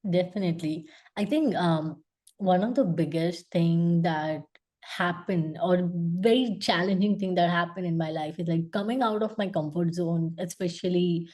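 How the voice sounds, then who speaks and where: A woman's voice: lowish voice, moderate pace, darkish tone. A young woman from India with a Gujarati-accented English, speaking earnestly as an interview guest and using many fillers. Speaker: guest, UX designer. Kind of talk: casual interview talk.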